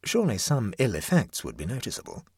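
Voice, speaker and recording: A man's voice: fairly low pitch, wildly swooping pitch, quick pace, slightly rough, no room sound, studio quality, pitched above the performer's own voice, exaggerated voice. A man voicing an idle, flippant young house guest in a storybook, lazily and drily mocking. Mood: mock reasoning.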